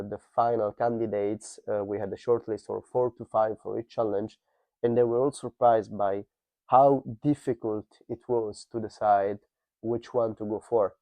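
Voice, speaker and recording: A man's voice: on the low side, steady tone, dark tone, no room sound. A man whose first language is Italian, talking through a project in a business podcast interview with fillers and restarts. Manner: measured, thoughtful, with frequent fillers and restarts.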